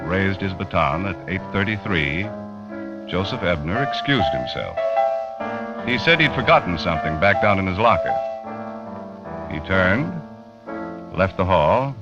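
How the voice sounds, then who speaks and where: A man's voice: low-pitched, very animated, measured pace, pauses often, full character voice. An actor playing a matter-of-fact American magazine writer, narrating his investigation in an old radio drama. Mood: measured, factual. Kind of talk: 1940s-50s radio drama first-person narration. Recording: muffled vintage radio recording with music.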